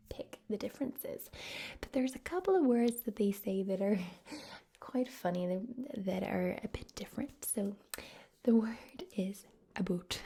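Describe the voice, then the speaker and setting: A woman from Scotland speaks in a soft, close-up whisper for an ASMR video. Her voice is mellow and very airy, sitting a little high, and she keeps slipping into other accents as she chats. It sounds intimate, right at the microphone. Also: husky. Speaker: ASMR creator.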